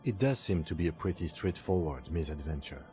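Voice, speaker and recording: An actor playing a calm, pragmatic police detective in a video game, speaking in a dry, measured and formal way. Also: male voice, low-pitched. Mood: matter-of-fact. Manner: measured, formal, matter-of-fact. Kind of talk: scripted video game dialogue.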